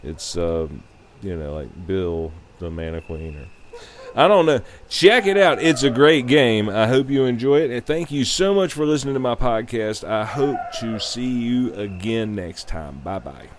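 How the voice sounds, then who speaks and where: A man hosting a podcast, talking casually on his own in a halting, rambling way. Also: medium pitch, expressive, background noise. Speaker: podcast host. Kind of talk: casual solo podcast talk.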